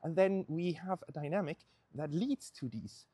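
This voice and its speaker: A man in his thirties talks off the cuff to a handheld camera outdoors. His voice is husky, and he speaks haltingly, working out his thoughts while walking. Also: on the high side, expressive, mellow. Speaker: vlog host. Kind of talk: off-the-cuff spoken commentary. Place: outdoors on a suburban street. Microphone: handheld camera at arm's length.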